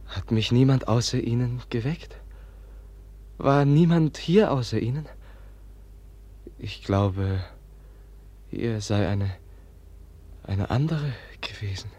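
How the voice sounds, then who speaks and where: An actor playing a gentle, earnest, absent-minded prince in a German radio drama, speaking hesitantly and courteously in a husky voice. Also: male voice, mid-range voice, slow pace, long pauses. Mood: dazed, dreamy.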